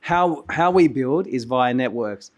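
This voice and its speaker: Hoarse male conference host, mid-pitched, rambling keynote with restarts and coughs, voice going. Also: lively intonation, a little slow. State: hoarse, losing his voice. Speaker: conference host and company founder. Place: conference venue.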